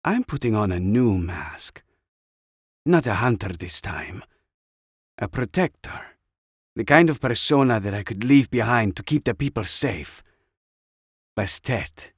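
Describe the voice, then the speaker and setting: A man's voice: on the low side, animated, measured pace, long pauses, slightly rough, no room sound, studio quality. Man voicing a wry, weary older woman warrior. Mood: resolute.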